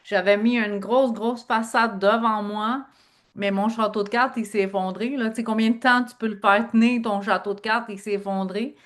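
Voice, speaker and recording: A woman's voice: medium pitch, fairly even intonation, normal speed. Middle-aged woman, Québécois accent, earnest storyteller, interview guest. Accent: Québécois French. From Quebec. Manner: earnest storyteller, leans on filler phrases. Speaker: guest, speaker and author. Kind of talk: personal interview talk.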